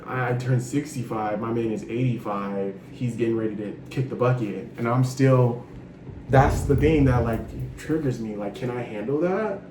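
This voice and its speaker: Young man, 20s, frank advice vlogger, animated and profane, mic set off at a distance. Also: medium pitch, normal speed. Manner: animated, frank, swears freely, sassy asides. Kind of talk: casual advice monologue. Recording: roomy echo, distant from mic, noisy.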